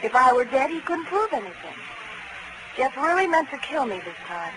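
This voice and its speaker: An actress playing a flirtatious, breezily amoral carnival daredevil with an airy, teasing manner in a 1940s radio crime drama. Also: female voice, high voice, big pitch swings, moderate pace. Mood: defensive, breezy. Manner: coy, airy, nonchalant, teasing. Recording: noisy old 1940s radio broadcast.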